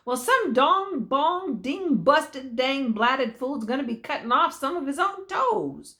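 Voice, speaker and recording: A woman's voice: high-pitched, very animated, slow, sharp tone. An older woman narrating a story aloud in a folksy, dramatic way, drawing out key words.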